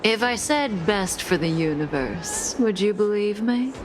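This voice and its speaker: A woman's voice: medium pitch, expressive, unhurried, music in the background, close to an everyday voice. An actress voicing a cool, coy, enigmatic woman outlaw in a video game, languid and amused. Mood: coy, composed.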